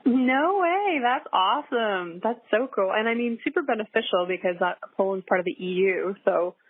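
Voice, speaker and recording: A woman's voice: mid-range voice, expressive, normal speed, dry recording. A young adult woman talking casually as a podcast guest, chatty with many fillers and restarts. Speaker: guest pilot. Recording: dry, tinny phone-like line.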